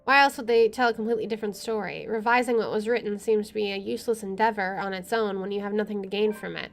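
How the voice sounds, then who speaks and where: A woman playing through a game, reading its narration aloud with wry, sweary casual commentary. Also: mid-range voice. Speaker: let's player.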